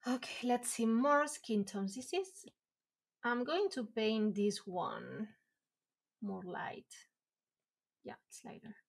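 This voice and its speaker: A woman's voice: fairly high pitch, animated, slow, gravelly, long pauses. Woman chatting while she draws. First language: Spanish. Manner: rambling, many fillers and restarts, self-deprecating laughs. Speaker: artist streaming a drawing session. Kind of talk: casual chat while drawing. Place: home room, indoors.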